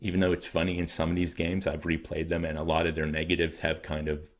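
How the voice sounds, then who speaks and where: A man hosting his own channel, talking casually and thoughtfully to his viewers, often pausing to weigh his words, his throat a little dry and scratchy. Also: very deep voice, completely flat delivery. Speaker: channel host.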